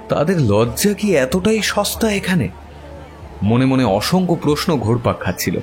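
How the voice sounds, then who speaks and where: A man narrating a scripted first-person story in a measured, literary, dramatic way. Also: mid-range voice. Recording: prominent guitar music bed. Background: plucked guitar music.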